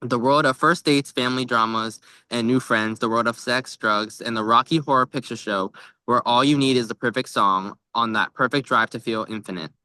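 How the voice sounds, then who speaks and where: A young man co-hosting a book podcast in a casual, chatty way. His voice is bright and forward, with a mid-range pitch and a close, dry sound. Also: normal speed, smooth, dry recording. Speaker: podcast co-host. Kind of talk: casual book club chat. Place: outdoors by a tree at a lake.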